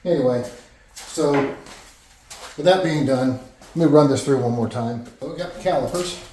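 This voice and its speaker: A man's voice: mid-range voice, moderate pace, mellow, distant mic, a little hiss or hum. A man narrating his woodworking project in a casual, rambling way, with frequent fillers and restarts, recorded in his home workshop. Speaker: woodworking vlogger. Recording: echoey workshop, voice off-mic.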